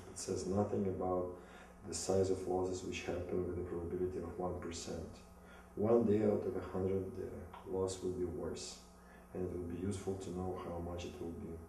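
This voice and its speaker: Man, thirties, Russian accent, mellow tone, halting scripted self-presentation, very echoey distant recording. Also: low voice, level tone, creaky. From Moscow, Russia. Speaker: business school applicant. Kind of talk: rehearsed self-presentation read from notes. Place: small indoor room against plain wall. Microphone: distant camera mic. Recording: echoey room, distant mic, some noise.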